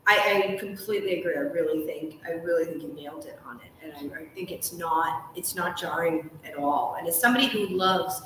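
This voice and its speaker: A woman's voice: lowish voice, fairly quick, hardly any pauses, fairly bright tone. A woman in her thirties hosting a casual live interview, talking in an enthusiastic, rambling way. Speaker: interview host. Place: hotel lounge with armchairs. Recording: echoey room, far from mic, noisy.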